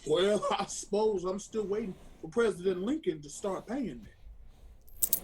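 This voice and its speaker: Proud, respectful Southern freedman for a radio play, high-pitched voice, folksy and sincere. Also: a little slow, a little mellow, a little hiss or hum. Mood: respectful, wry. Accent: American Southern. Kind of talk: radio drama acting.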